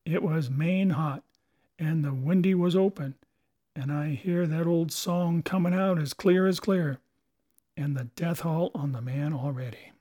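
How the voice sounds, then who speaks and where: A man voicing an old male pirate sailor who talks in a slow, brooding sailor dialect. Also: fairly high pitch, mellow.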